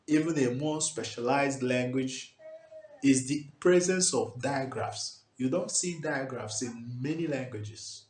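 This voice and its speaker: A man, teaching a language lesson at a whiteboard, emphatic and checking in with "okay?". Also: medium pitch. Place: small indoor room before a whiteboard. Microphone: camera at close range. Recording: echoey room, faint background hiss.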